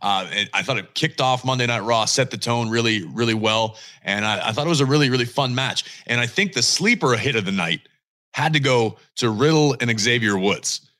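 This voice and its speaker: A man hosting a wrestling talk podcast, his voice bright and forward. He talks with punchy emphasis and casual swagger, leaning into his opinions. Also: mid-range voice, moderate pace. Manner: energetic, emphatic, swears casually. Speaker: podcast co-host.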